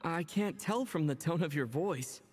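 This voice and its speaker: A kindly young traveler, played by a voice actor, speaks in an easygoing, mid-range voice. The delivery is calm and relaxed. It sounds like video game dialogue, with reverb and music behind. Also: mid-range voice, normal speed, natural voice. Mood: calm, friendly.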